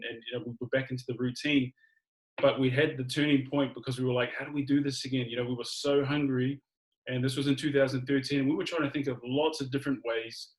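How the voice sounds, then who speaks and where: A man's voice: medium pitch, rough recording. A man giving a conversational personal testimonial, addressing listeners directly and often repeating phrases. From Australia. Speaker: guest speaker telling personal story.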